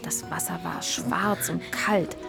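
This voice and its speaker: A woman narrating a scripted children's story in a measured, clearly articulated storytelling manner. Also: on the low side, fairly even intonation, over music.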